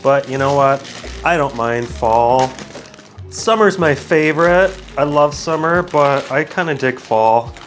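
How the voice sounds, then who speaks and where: A man talks in a medium-pitched voice that sounds a little stuffed up and sleepy. He rambles easily through a casual review, interrupting himself and drifting into side thoughts. Guitar music plays behind him. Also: measured pace, a bit bright. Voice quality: slightly congested. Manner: easygoing, digressive, self-interrupting. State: groggy from waking up, with sinus congestion. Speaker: product reviewer and video host.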